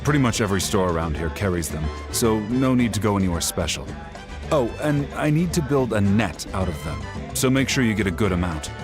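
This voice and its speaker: Low-voiced, world-weary man acting a game tribesman. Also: lively intonation, brisk, music in the background, lightly acted. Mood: matter-of-fact, focused. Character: defeated, intense male tribesman crafting a spirit net.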